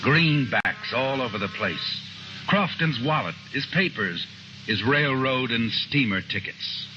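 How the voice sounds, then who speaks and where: The Whistler, a shadowy male storyteller who walks by night. His ominous, measured voice swings widely in pitch. Heard on a dull vintage radio recording with loud music behind. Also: lively intonation. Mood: tense. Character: the Whistler, eerie night-walking male narrator. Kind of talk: 1950s radio drama narration.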